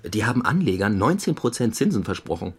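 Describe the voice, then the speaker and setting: Polite detective sidekick, lowish husky voice, precise n matter-of-fact, radio crime drama. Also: male voice, big pitch swings, fairly quick. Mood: factual. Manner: precise, matter-of-fact, slightly formal wording. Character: courteous, methodical male police detective assistant. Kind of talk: German radio crime drama dialogue and narration.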